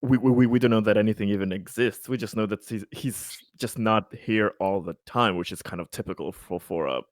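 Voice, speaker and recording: A man's voice: fairly low pitch, brisk, dry recording, clean studio sound. A man chatting casually as a player in a tabletop roleplaying game, often repeating words as he goes. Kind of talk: casual chat.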